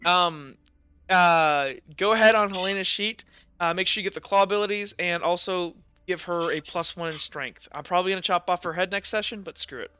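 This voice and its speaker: A high-pitched man runs a tabletop game in a casual, animated, sweary way. His voice is bright and very clear, on slightly dull audio with music behind it. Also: fairly quick, no room sound. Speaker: game master. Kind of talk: casual chat running a tabletop roleplaying game.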